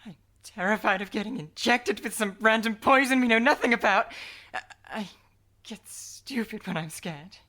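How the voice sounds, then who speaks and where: A woman playing a frightened, stammering young character. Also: fairly high pitch. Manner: halting, stammering, speaks softly. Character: small, sickly young person, anxious and fearful. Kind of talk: audio drama acting.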